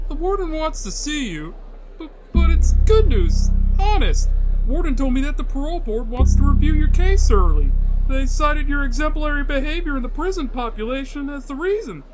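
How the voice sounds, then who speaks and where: A man's voice: sing-song, moderate pace, over music, rough recording. A man voicing a meek, hesitant prison messenger in a comic-book dub. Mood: nervous. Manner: hesitant, apologetic, high shift.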